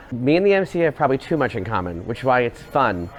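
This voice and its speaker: A man's voice: mid-range voice, very animated, a little fast. An American man, a musical theatre actor, talking in a cast interview.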